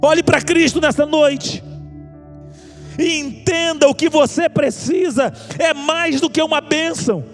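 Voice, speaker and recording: A man's voice: very high voice, measured pace. A man with a Brazilian accent preaching an evangelical sermon, exhorting listeners with impassioned, repeated appeals. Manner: impassioned, exhorting, rhetorical repetition. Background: music under the preaching.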